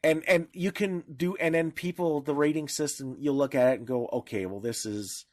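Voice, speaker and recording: A man's voice: fairly high pitch, moderate pace, dry recording, clean studio sound. A man co-hosting a podcast in casual discussion, talking in a blunt, irreverent way. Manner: blunt, irreverent, freely profane. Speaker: podcast co-host.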